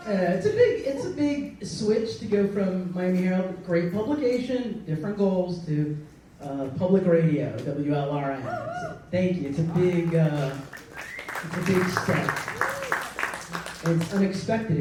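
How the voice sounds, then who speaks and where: A woman's voice: fairly low pitch, big pitch swings, takes it slowly, warm tone, slight background noise. A woman hosting a live storytelling slam, cracking self-mocking jokes and laughing often. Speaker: host. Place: live stage before an audience. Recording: echoey room, mic from far, audience.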